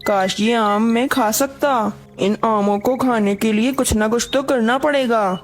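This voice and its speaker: A woman's voice: on the high side, unhurried, music in the background, close to an everyday voice. A woman voicing a friendly, simple male crocodile who sounds eager, in a cartoon story. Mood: craving, plaintive.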